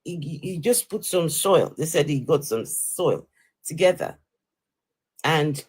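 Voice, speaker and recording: Husky guy hosting a debate stream, emphatic, repeats himself to push the point. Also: a little high, expressive, measured pace, a little mellow. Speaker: host of an atheist live-stream debate. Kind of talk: argumentative live debate. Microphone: live-stream call.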